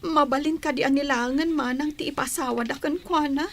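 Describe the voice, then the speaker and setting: An actress playing an anxious, homesick young wife in a radio drama, speaking in a plaintive, pleading way. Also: female voice, high voice, fairly even intonation, a little fast, fairly bright tone. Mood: wistful, apologetic. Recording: noisy radio drama track with ambience.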